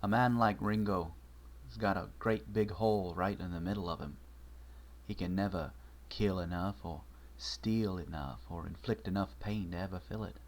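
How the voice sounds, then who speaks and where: A menacing outlaw-style voice in a deliberately slightly absurd accent, as a male reader puts it on. It sits low and comes out quiet and ominous, like a quote from an old western read aloud in a storybook.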